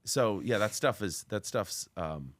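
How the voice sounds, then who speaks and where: A man hosting a podcast interview, talking loudly and freely in casual conversation. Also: fairly low pitch, animated, unhurried, no room sound. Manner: loud, talkative. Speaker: podcast host, commercial real estate broker.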